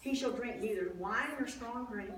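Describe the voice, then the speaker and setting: In a very echoey space, some way from the mic, a woman acts out an older priest's wife from a Bible story. She is devout, excitable and full of awe. She tells her tale in a chatty, halting, expressive way, breaking into exclamations. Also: on the high side, lightly acted. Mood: astonished, awed. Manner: conversational, expressive, halting with restarts and acted-out exclamations. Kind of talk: first-person dramatized Bible monologue, storytelling. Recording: roomy echo, distant mic, faint noise.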